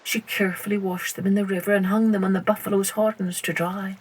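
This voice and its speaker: A woman storyteller reading a scripted children's tale in a deliberate, expressive manner. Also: medium pitch, slightly rough, rough recording. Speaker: storyteller, podcast host.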